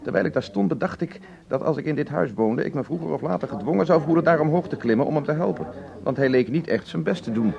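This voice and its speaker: A man's voice: a little high, expressive, fast talker, vocal fry, darkish tone, muffled recording. An actor playing an aggrieved, long-winded, bookish man telling his troubles in a radio play. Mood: aggrieved, wordy. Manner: wordy, indignant storytelling with quotations.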